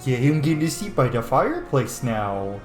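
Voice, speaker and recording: A man's voice: mid-range voice, very animated, normal speed, darkish tone, echoey room. A man giving casual, chatty video game commentary, reacting aloud as he plays. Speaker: gaming video host. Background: game music.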